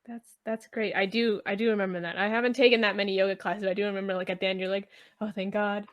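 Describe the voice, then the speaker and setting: A woman's voice: mid-range voice, little pitch movement, fast. Easygoing woman interviewer. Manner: easygoing, responsive, with fillers. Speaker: interviewer, host. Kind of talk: casual interview chat.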